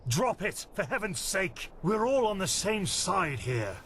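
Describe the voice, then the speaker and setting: Weary, slow-spoken master of an order. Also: male voice, sits high, very animated, a bit bright, slight background noise, heavily acted. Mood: exasperated. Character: weary, blunt master of a holy order, world-wise. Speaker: mentor leading novices to a trial. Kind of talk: fantasy game voice acting.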